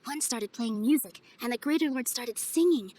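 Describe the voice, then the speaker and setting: An actress playing a kind, graceful young festival dancer in a video game, speaking softly and earnestly. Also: female voice, a little high, moderate pace, natural voice. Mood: wistful, storytelling. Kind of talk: video game character voice acting.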